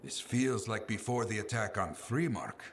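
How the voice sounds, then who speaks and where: A man voicing a grave storyteller who recounts history in a measured, solemn way in a game cutscene. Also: lowish voice, animated, a little hiss or hum. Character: grave male storyteller recalling old tragedy. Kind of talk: dramatic game cutscene narration.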